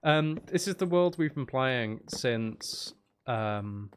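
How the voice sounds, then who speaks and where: A man's voice: mid-range voice, lively intonation, slow pace, a little hiss or hum. A man giving casual live commentary while streaming a video game, muttering wry, exasperated asides to himself.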